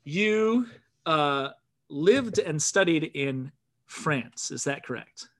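A podcast host asking questions in a halting, hesitant way, often stumbling over words. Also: medium pitch, animated. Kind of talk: casual interview.

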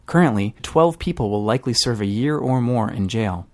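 A man's voice: medium pitch, lively intonation, moderate pace. A man hosting a podcast, reading scripted news narration. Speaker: podcast host.

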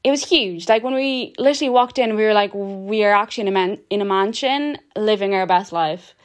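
Young woman podcast host, chatty and loose, everyday middle pitch. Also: brisk. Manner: chatty, lots of "like", laughs easily. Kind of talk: casual storytelling chat.

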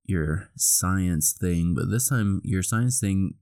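A man co-hosting a podcast in casual chat, hoarse and groggy from a cold, laughing easily. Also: low-pitched, takes it slowly, a little mellow, no room sound, clean studio sound. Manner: laughs often, easygoing. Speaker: podcast co-host.

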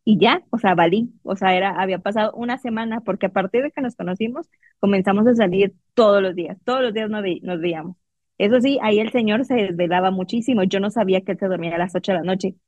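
A woman's voice: medium pitch, fast talker, darkish tone, no room sound. Chatty Mexican woman, muffled sound. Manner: chatty, laughing, quotes herself and others. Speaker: guest telling her love story. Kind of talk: casual storytelling conversation.

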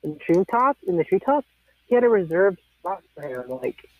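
Animated, swearing man on a tinny line. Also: sits high, normal speed, no room sound. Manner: animated, profane, rambling anecdotes. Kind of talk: casual storytelling chat. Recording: tinny phone-like sound.

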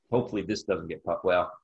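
A man's voice: low voice, breathy edge. A man hosting a casual conversational interview, rambling and thinking aloud as he goes. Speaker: interviewer and host.

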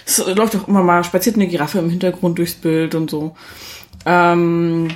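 A woman's voice: on the low side, flat delivery, moderate pace. A woman co-hosting a podcast and chatting casually about films. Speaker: podcast co-host reviewing films. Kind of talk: casual film review chat.

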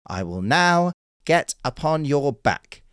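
A man's voice: mid-range voice, sing-song, normal speed, no room sound, clean studio sound, pitched above the performer's own voice, close to an everyday voice. A man voicing a humble, curious fisherman in a storybook tale, speaking earnestly and modestly. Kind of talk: storybook character voice.

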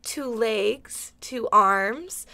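A woman's voice: a little high, very slow, pushed and bright, heavily acted. A woman playing a flustered, doting suburban mother in a comedic audio drama, fussing and reassuring.

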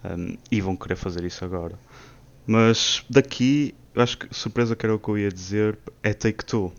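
Male co-host, low smooth voice, hesitant; dull lo-fi recording. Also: a little fast. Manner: thoughtful, hesitant with hums. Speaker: podcast co-host. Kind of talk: casual gaming podcast chat. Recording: lo-fi muffled mic.

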